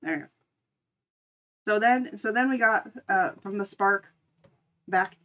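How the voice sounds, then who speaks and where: A woman's voice: mid-range voice, a little slow, a bit bright, dull audio. A woman streamer casually telling an improvised story and chatting with her live audience in an informal, chatty way. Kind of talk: casual livestream storytelling and chat.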